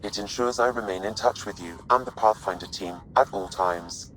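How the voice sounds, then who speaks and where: A man voicing a polite, literal-minded starship artificial intelligence in a video game, measured, formal and deadpan. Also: lowish voice, slight background noise, close to an everyday voice, rough recording. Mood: calm, formal.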